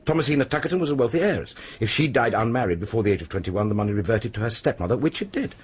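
An actor with a British accent playing a gruff, blunt, sceptical police pathologist in a radio drama, husky and brusque. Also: male voice, medium pitch, lively intonation, very fast. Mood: matter-of-fact. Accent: British English. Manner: brusque, dismissive, dry.

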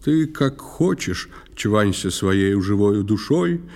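A man's voice: on the low side, lively intonation, slow, a little mellow. An actor playing a scornful, hot-tempered male water spirit in an audio play, taunting theatrically in verse. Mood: mocking, threatening. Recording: long cavernous reverb.

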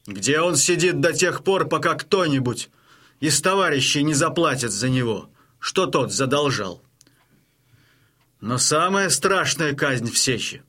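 A husky, bright-edged Cossack chieftain in a radio drama. He speaks slowly and with weight, stern and hearty, as a man used to command. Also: fairly high pitch. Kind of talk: audio drama acting.

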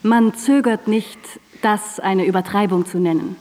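Female narrator, warm mellow timbre, mid pitch, formal scripted radio narration, composed, hall reverb. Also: measured pace.

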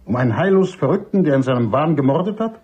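A man playing an older army major and doctor in a radio drama, husky, emphatic and cynical, courteous but dismissive. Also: fairly high pitch, expressive, quick pace, darkish tone. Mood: firm, scornful. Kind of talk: German radio drama acting.

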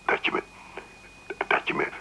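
An actor playing a furtive, awkward male caller in a radio play, murmuring haltingly in a deep, gravelly, breathy voice. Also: pushed and bright, in a whisper. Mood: furtive, embarrassed.

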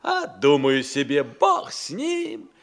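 Актёр в театральной манере радиоспектакля играет простоватого с виду, но хитрого крепостного слугу, почтительного и по-народному неторопливого. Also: male voice, fairly high pitch, wildly swooping pitch, takes it slowly, gravelly, exaggerated voice. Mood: sly, folksy. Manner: folksy, drawling, deferential, hesitant and crafty. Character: sly, humble serf manservant, folksy and obsequious. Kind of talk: theatrical radio-play acting of classic comedy.

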